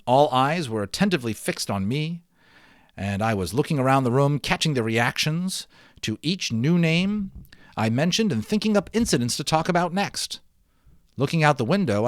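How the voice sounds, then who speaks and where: Animated man reading a storybook aloud. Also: mid-range voice, no echo, studio quality. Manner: expressive, emphatic, theatrical. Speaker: amateur audiobook reader. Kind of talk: dramatic storybook read-aloud with chatty asides.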